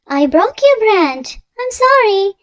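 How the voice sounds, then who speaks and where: A storybook reader voicing a mild, kindly male animal friend in a gentle, earnest way. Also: very high voice, big pitch swings, slow pace, no room sound, pitched above the performer's own voice, heavily acted. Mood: apologetic.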